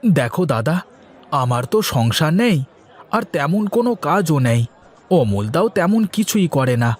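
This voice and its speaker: An eager-to-please young village man in a cartoon , played by a man. He sounds respectful and a little hesitant as he pleads. The voice is slightly rough and rises and falls a lot. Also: a little high, very animated, normal speed, darkish tone. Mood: earnest pleading. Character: earnest, deferential young jobless village man seeking help. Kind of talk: Bengali moral-story cartoon dialogue.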